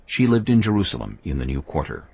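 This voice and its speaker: A man narrating a dramatised scripture reading in a measured, solemn storytelling manner. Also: extremely low pitch, very animated, creaky. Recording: slightly dull audio with music bed.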